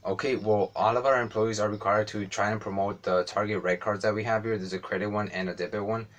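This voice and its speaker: A man voicing a brisk female store manager running a job interview, asking short businesslike questions. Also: lowish voice, steady tone, lightly acted.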